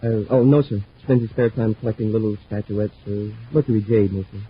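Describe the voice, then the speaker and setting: An eager young space cadet played by a man, chatty and respectful, talking quickly in a fairly low voice. It is old-time radio serial acting on a muffled recording. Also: fairly even intonation, slight background noise, natural voice.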